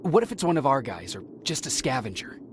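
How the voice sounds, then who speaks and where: An actor playing an earnest, wary resistance soldier in a video game, sober and plain-spoken. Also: male voice, mid-range voice, big pitch swings, a little fast, a little hiss or hum, close to an everyday voice. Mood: uncertain. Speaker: soldier and narrator. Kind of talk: dramatic game acting and story narration. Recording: loud music behind the voice.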